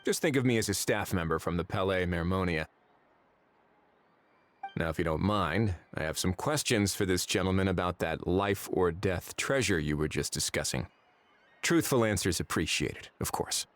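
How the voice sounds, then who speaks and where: An actor playing a composed, politely probing government official in a video game, measured and quietly authoritative. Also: male voice, sits low, lively intonation, a little hiss or hum. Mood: cool, polite. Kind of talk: voice-acted game dialogue.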